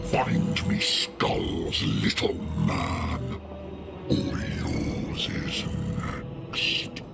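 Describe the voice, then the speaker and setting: A man playing a huge, raging demon of slaughter in a dark fantasy game, with guttural, gravelly growls and blunt, menacing threats. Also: rumbling low, wildly swooping pitch, very slow, exaggerated voice. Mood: menacing rage. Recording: dramatic music behind the voice. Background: loud music.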